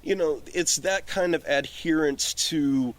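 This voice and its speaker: A man's voice: fairly high pitch, a little slow. A man talking casually and emphatically as a podcast guest, opinionated, prone to digressions and swearing. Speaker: podcast guest, film director. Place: outdoors on a porch.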